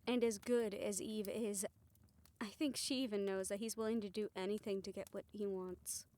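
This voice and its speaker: A woman playing a composed aunt in a fantasy audio drama, speaking in a measured, deliberate way. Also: mid-range voice, steady tone, mellow, natural voice. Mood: serious, resigned. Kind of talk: audio roleplay drama acting.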